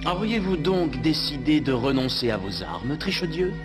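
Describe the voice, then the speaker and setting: Proud heroic swordsman in a cartoon, formal n defiant, bit high pitched, music behind. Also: big pitch swings, normal speed. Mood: wary irony. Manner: formal, eloquent, resolute. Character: proud, defiant, gallant male swashbuckling hero. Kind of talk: dubbed cartoon acting. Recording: music under the voice.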